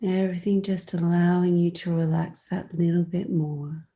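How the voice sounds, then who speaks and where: A woman leading a guided meditation in a soft, sometimes breathy voice, soothing and gentle, leaving long pauses between phrases. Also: fairly low pitch, little pitch movement.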